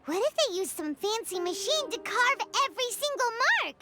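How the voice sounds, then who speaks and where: A woman voicing a small, chirpy, childlike fairy companion with an excitable manner in a video game. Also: moderate pace. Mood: curious, skeptical. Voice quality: squeaky, childlike. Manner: refers to self in third person, exclamatory. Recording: loud music behind the voice.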